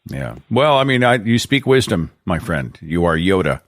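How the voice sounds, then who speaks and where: A man's voice: low-pitched, big pitch swings, moderate pace, no echo. A man with an Oklahoma accent hosting a call-in show, talking in a conversational, emphatic way. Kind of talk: radio-style call-in talk.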